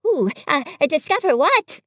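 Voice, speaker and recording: A woman's voice: high voice, sing-song, normal speed, fairly bright tone, no room sound, clean studio sound, pitched above the performer's own voice. A woman voicing a small, timid piglet in a children's story, hesitant, worried and earnest. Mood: anxious, earnest. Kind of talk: children's storybook character reading.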